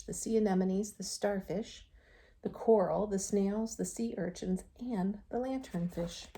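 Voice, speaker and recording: A woman teacher reading a storybook aloud to young children, expressive, with chatty asides and gentle checking questions aimed at them. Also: medium pitch, slight background noise.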